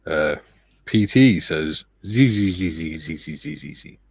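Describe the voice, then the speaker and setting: A middle-aged man hosting a relaxed livestream, reading viewer comments aloud with a soft, soothing, self-deprecating manner and frequent laughs. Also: low voice, measured pace, vocal fry, husky. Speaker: livestream host.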